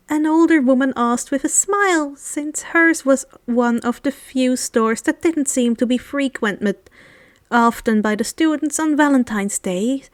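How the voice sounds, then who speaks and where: Woman, story reader, high voice, expressive narration, close dry recording. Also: no echo. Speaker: fan fiction reader.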